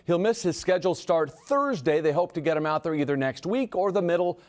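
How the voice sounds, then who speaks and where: A man with an American accent reading a scripted sportscast over highlights in a punchy, playful delivery. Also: expressive, a little fast, a little mellow. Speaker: TV sports anchor.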